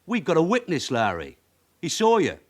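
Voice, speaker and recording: An actor playing a shrewd, weary veteran detective sergeant in a radio drama, with a London accent and a blunt, sardonic, authoritative way of questioning. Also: male voice, a little high, wildly swooping pitch, moderate pace. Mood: sardonic, needling. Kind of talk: naturalistic radio drama acting.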